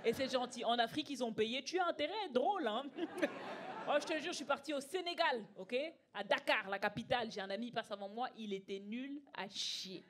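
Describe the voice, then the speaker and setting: Stand-up comic, female, early 20s. Animated, emphatic storyteller at a stage mic, live club with light crowd noise. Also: on the high side, brisk. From Belgium. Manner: animated, conversational, emphatic, acting out dialogue. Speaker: stand-up comedian. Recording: live stage with audience laughter. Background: audience laughing.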